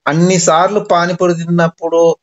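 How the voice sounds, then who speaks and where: A man's voice: high voice, little pitch movement, fairly quick. Playful man on a muffled call. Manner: playful, teasing, evasive. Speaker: prank caller. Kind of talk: teasing casual phone chat. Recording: muffled lo-fi phone call recording.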